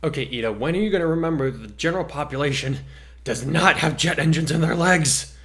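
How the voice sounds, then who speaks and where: A man's voice: a little high, fast talker, fairly bright tone, voice pushed up. A laid-back teenage boy at hero school, played by a man reading aloud. He is wry and sarcastic, grumbling his lines like a storybook character. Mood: exasperated. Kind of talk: dramatic reading of fan fiction.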